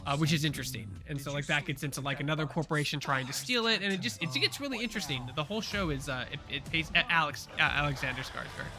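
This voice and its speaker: A man co-hosting a pop culture show, chatting casually in a rambling, animated way with lots of fillers and restarts. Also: fairly high pitch, fast, few pauses, music in the background. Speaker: co-host.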